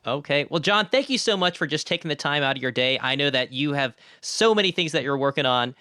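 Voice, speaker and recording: A man's voice: fairly high pitch, lively intonation, quick pace, pushed and bright, clear, resonant tone, dry recording, clean studio sound. A man hosting a podcast, speaking courteously in interview and scripted outro narration. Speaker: podcast host.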